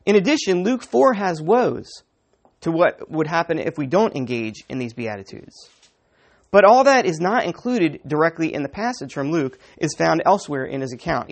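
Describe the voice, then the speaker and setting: A man preaching a sermon as pastor, with a sniffly, slightly raspy voice, talking conversationally, chuckling and often asking the congregation "right?". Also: on the high side.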